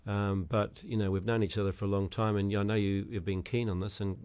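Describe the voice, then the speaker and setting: A middle-aged man with a New Zealand accent, answering radio interview questions as an election candidate, halting with many fillers and restarts. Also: on the low side, level tone, darkish tone, clear, resonant tone.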